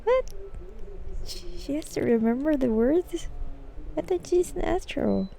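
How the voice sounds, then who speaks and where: A woman's voice: sits high, lively intonation, a little slow, creaky, dark tone. A woman streaming a game with casual live commentary, sometimes reading game text aloud.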